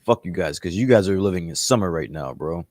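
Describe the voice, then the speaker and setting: A man in a relaxed podcast chat, blunt and full of fillers. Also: deep voice, brisk, no echo, studio quality. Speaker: podcast co-host. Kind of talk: casual chat.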